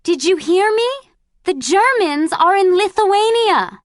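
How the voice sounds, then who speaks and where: Woman voicing an elated, shouting mother. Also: very high-pitched, takes it slowly, no room sound, studio quality, voice pushed up, full character voice. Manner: excited, raised voice. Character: eager, devoted middle-aged mother.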